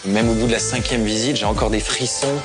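A man's voice: fairly low pitch, fairly even intonation, fast. A man talking as an estate agent in a reality TV trailer. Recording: loud music over trailer audio. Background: loud music.

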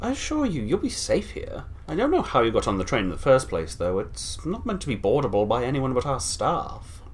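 A man's voice: medium pitch, brisk, breathy edge. An actor playing a polite, unflappable male conductor of a time-travelling train in an audio drama. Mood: courteous. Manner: courteous, formal, lively rising tones.

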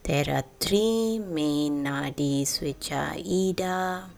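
A woman leading a guided sleep meditation in a soothing, deliberate way, with pauses between short phrases. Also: low-pitched, gravelly. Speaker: meditation guide, podcast host. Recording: voice over background music. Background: meditation music.

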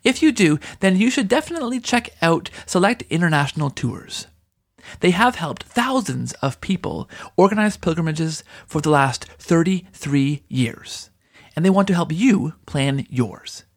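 A man's voice: fairly high pitch, big pitch swings, unhurried. A man hosting an interview podcast, speaking in an earnest, enthusiastic way. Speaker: podcast host. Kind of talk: podcast introduction and interview talk.

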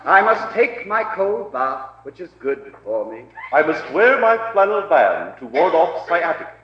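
An actor playing a meek, fussy, henpecked husband dutifully reciting in a radio play. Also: male voice, fairly high pitch, sing-song, unhurried. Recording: distant, echoey, muffled old radio recording.

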